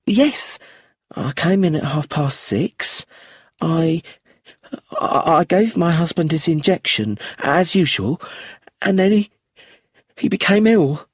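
A man voicing a frightened young widow in an audiobook, talking high, shaky and pleading. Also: lively intonation, fairly quick, few pauses, darkish tone, breathy edge, no echo, clean studio sound. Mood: panicked.

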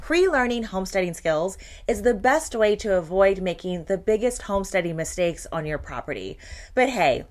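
A woman's voice: medium pitch, sing-song, normal speed. A woman in her thirties talking casually to camera from her living room, earnest and chatty with lots of "you know". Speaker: vlogger. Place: living room with blue couch. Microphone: handheld shotgun mic.

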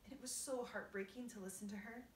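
A woman's voice: on the high side, fairly even intonation, fast talker, pitched above the performer's own voice, lightly acted. Fussy, weepy storybook spider lady voiced by a woman reading aloud, prim and theatrical, recorded from a distance in a roomy space with faint hiss. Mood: mournful. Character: fussy, tearful female spider, prim and dramatic.

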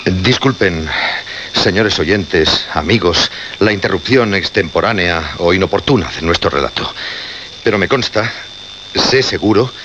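A solemn presenter of an occult radio show, with a deep voice and Castilian accent. He insists on every phrase with deliberate weight. The old radio drama recording is muffled and noisy. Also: lively intonation. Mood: solemn, urgent. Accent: Castilian Spanish.

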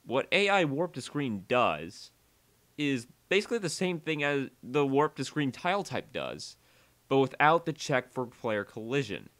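A man presenting a casual software tutorial in a conversational voice-over, with uneven energy and weight on key words. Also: mid-range voice, very animated, a little slow.

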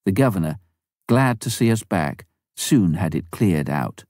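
Deep, dark-voiced man reading a memoir. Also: no echo, studio quality. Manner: measured, even first-person reading. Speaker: audiobook reader. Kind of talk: scripted memoir narration.

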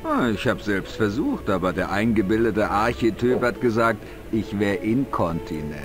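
A man's voice: fairly low pitch, big pitch swings, moderate pace. An actor playing a simple, gullible, talkative village man in an adventure game, with naive, colloquial speech. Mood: chatty, baffled. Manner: naive, colloquial, exaggerated swings of emphasis. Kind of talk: dubbed adventure game dialogue. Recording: music behind the voice. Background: game music.

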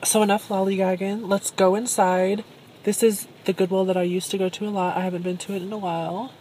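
A woman's voice: mid-range voice, level tone, a little fast, mellow. A young woman chatting casually into a phone held at arm's length, bubbly and self-admiring, in a car and a thrift store. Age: young adult. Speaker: thrift vlogger. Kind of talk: casual vlog chat. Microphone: phone camera held at arm's length. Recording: noisy phone recording.

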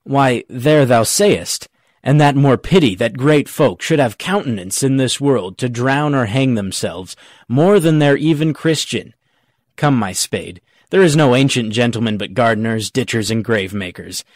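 Cocky country gravedigger voiced by a man, Shakespeare audio drama, mid-pitched, mock-learned and jokey, very dry sound. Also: measured pace, no room sound, lightly acted. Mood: teasing, cocky. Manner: pedantic, jokey mock-logical argument. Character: cocky, quibbling rustic gravedigger clown, a grown man.

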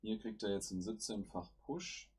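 A man's voice: fairly low pitch, normal speed, a little mellow, husky. A man with a cold, hosting a gaming channel and thinking aloud in casual commentary with plenty of fillers as he plays. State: has a cold.

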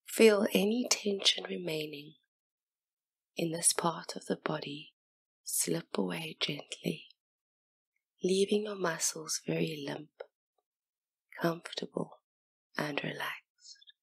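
A woman guiding a hypnosis meditation in a soft, breathy near-whisper, with soothing, gentle, unhurried instruction. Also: low-pitched, no echo, poor audio. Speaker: hypnotist and session guide.

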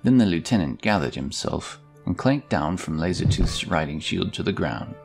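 A man's voice: low voice, animated, normal speed, gravelly, a little mellow. A man narrating a scripted fantasy story as its storyteller. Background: music.

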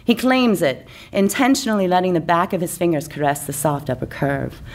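A woman's voice: fairly low pitch, expressive, fairly quick. A woman reading her own comic story as narrator, in a florid, mock-serious romantic style. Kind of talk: mock-romantic scripted narration for a live comedy story night.

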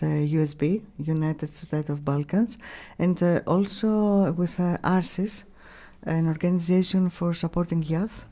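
A middle-aged woman with a Greek accent, giving halting, unscripted interview answers as she searches for words. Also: sits low, dark tone. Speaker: radio station staff member, interviewee. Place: small room, radio studio. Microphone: studio mic on boom arm.

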